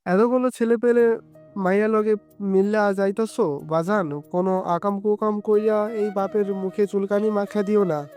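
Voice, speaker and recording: A woman's voice: mid-range voice, steady tone, moderate pace, darkish tone, soft background music, natural voice. A woman voicing a stern, scolding village father with a grave, blunt manner and a Bangladeshi Bengali dialect, in an audio story. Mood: gruff disapproval.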